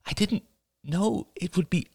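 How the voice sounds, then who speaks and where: Man voicing anxious, pleading young woman, pitched high. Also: gravelly, a little mellow, slightly rough, heavily acted. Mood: anxious, defensive. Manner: pleading, halting, emotional.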